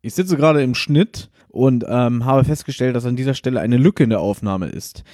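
A man, a podcast host and editor, calmly giving a casual explanation alone on the mic. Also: mid-range voice, normal speed, no room sound, studio quality. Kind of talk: casual spoken explanatory insert.

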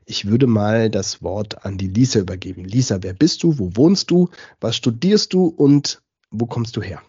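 Ein Mann, der als Gastgeber eines Podcasts locker und plaudernd durch ein Gespräch führt. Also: male voice, lowish voice, animated, normal speed, mellow, slightly rough, no room sound, highs cut off. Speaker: podcast host. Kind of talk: casual talk moderation.

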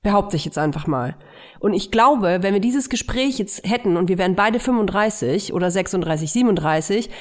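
Woman, podcast co-host, chatty and self-mocking, middle pitch. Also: expressive, brisk. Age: 30s. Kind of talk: casual podcast chat.

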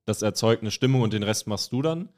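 Male co-host, fairly low pitch, informal, slangy conversational podcast delivery. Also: lively intonation, a little fast, a little mellow. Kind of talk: casual chat.

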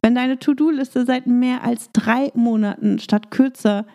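Woman podcast host, mellow fairly high voice, slightly hoarse from a cold, upbeat coaching delivery. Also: steady tone, measured pace. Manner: upbeat coaching tone, with fillers in free talk. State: recovering from a cold, voice slightly rough. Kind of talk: scripted intro then casual monologue.

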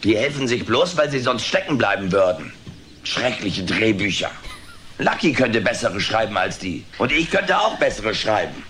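A man's voice: on the low side, big pitch swings, moderate pace, fairly bright tone, a little hiss or hum. A man playing a boastful, grumpy alien in a dubbed sitcom, with a sardonic, brash delivery. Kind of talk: dubbed sitcom comedy acting.

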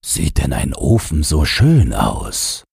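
A man voicing a naive, curious newborn snowman with a soft, questioning manner in a storybook. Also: extremely low pitch, wildly swooping pitch, takes it slowly, vocal fry, husky, dry recording, clean studio sound, full character voice.

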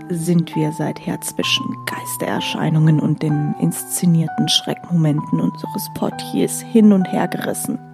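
A woman's voice: sits low, gravelly. A woman co-hosting a podcast, delivering scripted introductions and audio play dialogue. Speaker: podcast co-host.

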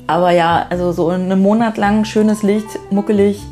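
A woman's voice: medium pitch, steady tone, normal speed, warm tone, soft background music. A woman in a casual, spontaneous chat, sharing her own habits and putting questions to her conversation partner.

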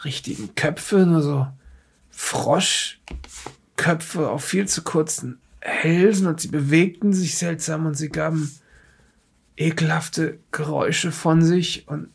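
A man playing a frightened, defensive scientist in a horror audio drama, speaking haltingly with a husky, gravelly voice. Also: a little high, steady tone, exaggerated voice. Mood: haunted, shaken. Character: frightened, defensive male scientist recounting occult horrors under questioning. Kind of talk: improvised audio drama dialogue.

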